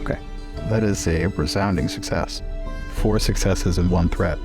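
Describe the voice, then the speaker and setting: A man's voice: low voice, fairly even intonation, normal speed, music in the background. A man playing a tabletop game, casually improvising and describing what his character does in the third person.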